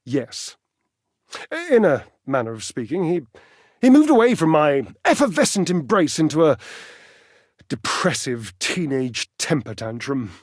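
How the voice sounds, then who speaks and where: A man's voice: fairly high pitch, big pitch swings, unhurried, no echo, studio quality. Pompous, swooping theatrical male dandy. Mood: wistful, petulant. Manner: pompous, flamboyant, wordy, condescending. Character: vain, snobbish, athletic household appliance, theatrical and petulant. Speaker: video game character actor. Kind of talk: theatrical dating-game character acting.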